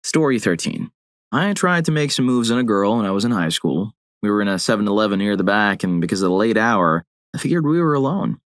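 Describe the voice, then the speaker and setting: A man reading out story submissions, with deadpan, wry asides between them. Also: on the low side, a little fast, dry recording, studio quality. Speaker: narrator. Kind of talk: reading story submissions aloud with short quips.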